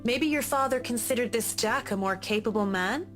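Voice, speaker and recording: Woman to play a curious, courteous young inspector; light, slightly high voice. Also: normal speed, fairly bright tone, soft background music, lightly acted. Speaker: game character, inspector. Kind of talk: voiced visual novel dialogue.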